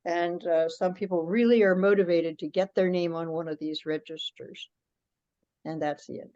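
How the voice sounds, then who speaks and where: A woman's voice: lowish voice, steady tone, moderate pace, dark tone. Woman presenting on a dull-sounding conference call. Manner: explanatory, conversational. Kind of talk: informal spoken presentation on a teleconference. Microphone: teleconference call.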